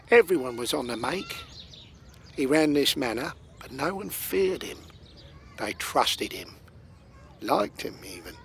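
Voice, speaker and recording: A man plays an older London ex-convict with a creaky, gravelly voice. He talks slowly and wearily, like a fatherly old villain in a radio play. There is faint outdoor noise and birdsong behind him. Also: medium pitch, a bit bright.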